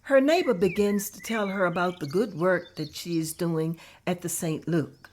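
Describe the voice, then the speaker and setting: Narrator: woman, low and steady, reading scene directions. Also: moderate pace, slight background noise.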